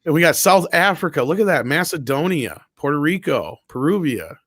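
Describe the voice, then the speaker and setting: A man's voice: on the high side, sing-song, normal speed, a bit bright, breathy edge, dry recording, clean studio sound. A man co-hosting a livestream, chatting informally and reading out viewer comments, rambling and swearing freely. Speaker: co-host of an online panel show.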